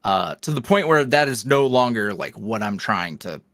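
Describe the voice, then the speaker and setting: A man's voice: on the low side, big pitch swings, normal speed, a bit bright, no echo. Man, young adult, podcast guest, rambling, filler-heavy, dry recording. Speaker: podcast guest, roleplay creator. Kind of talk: casual interview chat.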